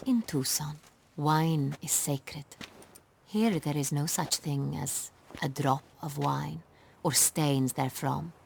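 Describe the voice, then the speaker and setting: An actress playing a proud, strong-willed duchess in a fantasy game, speaking in a formal, commanding, regal manner. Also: female voice, low-pitched, takes it slowly, creaky, darkish tone, a little hiss or hum, full character voice. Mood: insistent.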